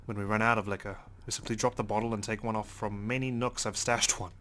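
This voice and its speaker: Low-voiced man narrating a story dramatically. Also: steady tone, fast, fairly bright tone. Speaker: let's play host reading game text aloud.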